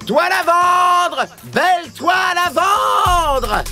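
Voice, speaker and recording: A man's voice: extremely high pitch, animated, very slow, sharp tone, raised voice, soft background music, full character voice. A man playing a wry, teasing, clever trickster in a children's cartoon. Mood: hawking. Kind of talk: animated children's cartoon acting.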